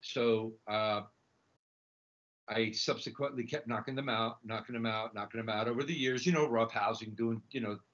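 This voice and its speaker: A middle-aged man from Chile telling his own story conversationally as a guest, halting and repeating his words. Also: mid-range voice, fairly bright tone. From Chile, emigrated to the United States. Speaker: podcast guest, snack bar entrepreneur. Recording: dull, lo-fi audio.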